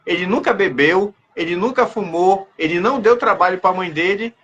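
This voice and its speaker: Male podcast host, high-pitched voice, informal chatty interviewing, repeated phrase openings. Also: normal speed, a bit bright, lo-fi sound, a little hiss or hum. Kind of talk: casual interview chat.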